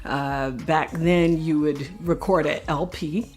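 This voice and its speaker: A woman's voice: sits low, steady tone, slow, darkish tone, faint music behind. A woman singer giving a reflective personal interview, telling her own story in conversational language. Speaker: singer in a family group.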